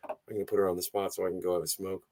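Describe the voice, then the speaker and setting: A hoarse man hosting a casual livestream, rambling and easily sidetracked as he chats and reads out viewer comments. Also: low-pitched, level tone, racing, darkish tone. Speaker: livestream host.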